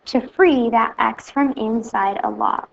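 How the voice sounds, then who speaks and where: A woman teacher calmly explaining math steps in a recorded video lesson. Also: a little high, slow, vocal fry, muffled recording. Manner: plain, steady step-by-step explaining.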